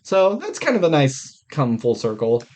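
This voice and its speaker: A man's voice: on the high side, huge pitch swings, normal speed, a little mellow. Casual male podcaster, blunt and profane. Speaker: podcast co-host. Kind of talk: casual film review chat.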